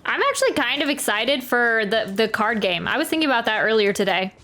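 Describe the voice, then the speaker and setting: A woman livestreaming a video game, chatting casually and thinking out loud as she reacts and comments. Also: a little high, big pitch swings, a little fast, a little hiss or hum. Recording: game music behind the voice.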